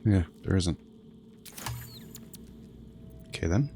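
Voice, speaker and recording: A man's voice: deep voice, animated, slow, dark tone, a little hiss or hum. A man doing casual video game commentary as a streamer, muttering unhurriedly as he thinks aloud.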